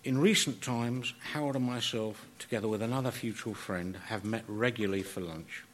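Measured man giving a eulogy, noisy recording. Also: fairly low pitch. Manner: measured, courteous, wordy. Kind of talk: formal prepared eulogy. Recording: clear background noise, live event.

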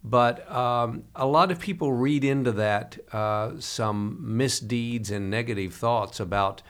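Male interview host, halting speech. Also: mid-range voice, smooth. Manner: halting, with many fillers and restarts. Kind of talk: conversational interview.

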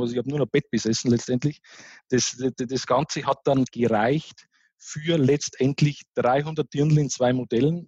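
A man's voice: medium pitch, a little mellow, husky, dry recording, highs cut off. A man with a Bavarian accent, a start-up founder, telling his story as a guest in a casual interview, with many fillers and restarts.

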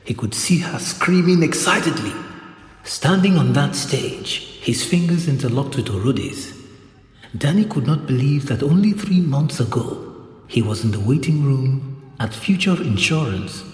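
A man's voice: mid-range voice, animated, normal speed. A man narrating a scripted audio drama. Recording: roomy reverb, faint music.

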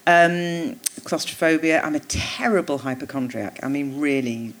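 A woman guest on a live theatre podcast, talking casually in a low voice, witty and self-mocking, laughing often. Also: fairly even intonation, normal speed. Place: theatre stage.

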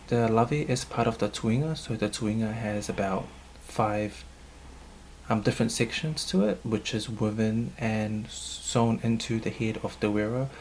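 New man, cultural leader, fairly low voice, measured and hesitant with ums, faint room hum behind. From New Zealand. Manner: thoughtful, hesitant, frequent um fillers. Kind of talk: interview answers.

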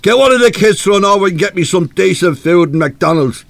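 A man's voice: high voice, expressive, brisk, creaky, no room sound. A man with an Irish accent playing a coarse, abusive, hard-drinking husband in a live radio play. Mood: irritable, demanding. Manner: coarse, belligerent, cursing.

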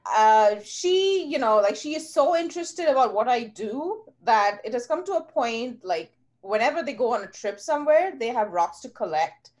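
A woman's voice: on the high side, expressive, normal speed, hardly any pauses, darkish tone. A woman from India, a geoscience professor talking earnestly as a podcast guest. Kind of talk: casual interview talk.

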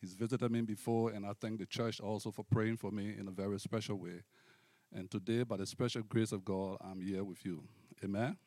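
A middle-aged man with a Liberian accent, preaching and giving testimony to a congregation in deliberate, devout, formal phrasing. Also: fairly low pitch, fairly even intonation, dark tone, no room sound. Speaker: guest preacher. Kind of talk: personal testimony and sermon to a congregation.

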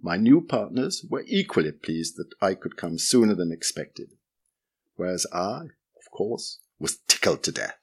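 A man reading a memoir chapter aloud in a measured, dry and understated way. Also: deep voice, big pitch swings, husky.

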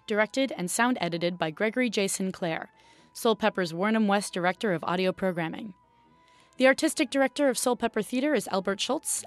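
Female announcer, medium pitch, scripted credits read, light music bed. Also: dry recording. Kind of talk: scripted narration.

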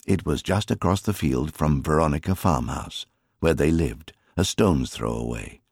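A man narrating an audiobook in an expressive, scripted storytelling style. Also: very deep voice, moderate pace, vocal fry, slightly rough, dry recording, clean studio sound.

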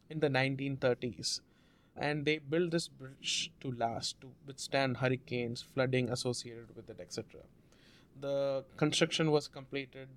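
Guy guest on a podcast, earnest, kinda higher voice, slow drawn-out bits. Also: smooth. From India. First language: Malayalam. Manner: measured, earnest, drawn-out phrasing with restarts. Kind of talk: storytelling interview answer.

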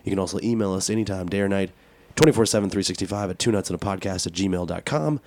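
An American man co-hosting a talk podcast in casual chat, joking and self-deprecating, with a raspy voice from a cold. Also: low-pitched, fast, darkish tone, a little hiss or hum. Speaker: podcast and radio show co-host.

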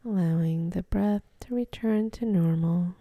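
A woman leading a guided meditation, speaking softly and breathily with gentle, unhurried instructions and pauses. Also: lowish voice, dry recording.

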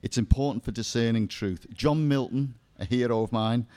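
A man's voice: medium pitch, normal speed, warm tone. A man with a Liverpool accent giving a seminar talk on faith to a live audience, with self-deprecating jokes and asides. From England. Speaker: seminar speaker.

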